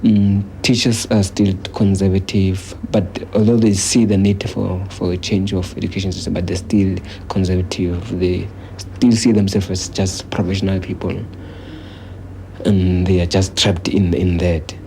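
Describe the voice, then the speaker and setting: Very low, flat-toned South African man, noisy audio. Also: normal speed. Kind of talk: documentary interview. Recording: noisy 1980s newsreel location sound.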